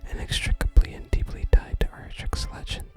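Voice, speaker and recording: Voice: a little fast, darkish tone, soft background music, natural voice. An ASMR gamer voicing a wistful wandering male bard from a fantasy game, whispering his florid, archaic lines. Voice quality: unvoiced whisper. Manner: archaic, theatrical phrasing.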